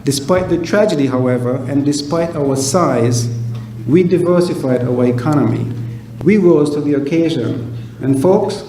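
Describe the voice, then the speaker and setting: A man's voice: a little high, normal speed, mellow. Echoey, distant man giving a rousing speech. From Saint Kitts. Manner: rhetorical, earnest. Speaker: keynote speaker. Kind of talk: formal motivational speech to an audience. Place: event hall at a gala. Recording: echoey room, distant mic, background noise.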